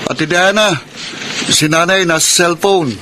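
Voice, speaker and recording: An actor with a Filipino accent playing a polite, obedient high-school son, respectful and reassuring, in a radio drama. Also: male voice, steady tone, a little slow, heavy background noise. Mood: dutiful, reassuring.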